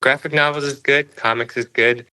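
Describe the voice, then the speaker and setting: A man's voice: mid-range voice, fairly even intonation, moderate pace, sharp tone, smooth, poor audio. A man speaking as an interview guest, a cartoonist explaining his craft in a thoughtful, conversational way. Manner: thoughtful, explains through analogies.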